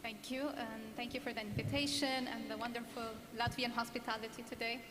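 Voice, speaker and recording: A woman from Lebanon, an education consultant, speaking on a conference panel in a measured, explanatory way. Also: on the high side, level tone, a crowd in the background. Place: conference hall. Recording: echoey hall with background noise.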